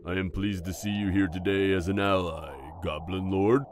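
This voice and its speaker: A man's voice: low-pitched, fairly even intonation, moderate pace, background noise, natural voice. A man playing a jovial, battle-seasoned dwarf king in a fantasy audio drama, speaking in a genial, regal manner. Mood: grave, courteous. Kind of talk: fantasy audio roleplay acting.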